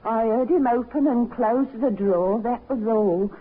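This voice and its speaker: An actress playing an overworked, plain-spoken cook at an inn in a 1950s radio drama. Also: female voice, a little high, unhurried, husky. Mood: chatty, guarded. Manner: chatty, plain-spoken, colloquial. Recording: muffled 1950s radio recording.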